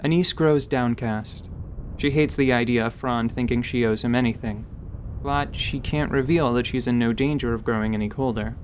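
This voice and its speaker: A man with a mid-range voice narrates a fantasy audio drama. His delivery is scripted storytelling in the present tense. There is a faint rumble in the background. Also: moderate pace.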